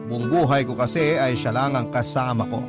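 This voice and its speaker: A man playing a grieving, self-blaming orphan who narrates a life story in a solemn, measured, sentimental radio drama style. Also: mid-range voice, natural voice. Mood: sorrowful. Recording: music bed with guitar.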